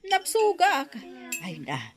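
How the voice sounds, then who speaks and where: A woman acting a frugal older village mother in an Ilocano radio drama, unhurried and grumbling. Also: high-pitched, wildly swooping pitch, crowd noise, full character voice. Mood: content, reluctant.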